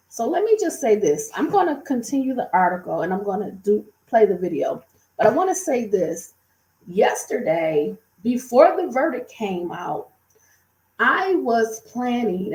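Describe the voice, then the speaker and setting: A woman with a slightly high voice, talking in a loose, repetitive way to her online audience. Her voice sounds worn from a lingering cold. The sound is echoey, as if she is recording in a bare room. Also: darkish tone. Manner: chatty, repeats and restates, many fillers. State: recovering from a cold, voice strained. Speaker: true-crime commentator. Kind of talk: casual commentary to viewers.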